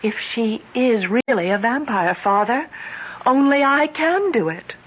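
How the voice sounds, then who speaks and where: A woman playing a grieving, resolute young village woman in old-time radio drama, speaking intensely and theatrically, halting when troubled. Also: fairly high pitch, expressive, over music. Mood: grim resolve. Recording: muffled, noisy old radio recording.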